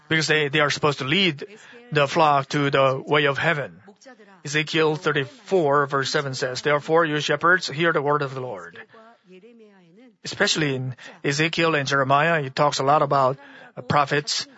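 A hoarse man preaching to a congregation, halting and restarting often. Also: a little high, bright, forceful tone, dull audio. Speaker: church speaker. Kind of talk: sermon-style teaching.

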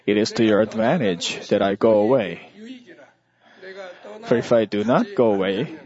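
A man delivering a church sermon in short, measured phrases, as an interpreter would. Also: fairly low pitch, a little mellow. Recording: dull lo-fi audio.

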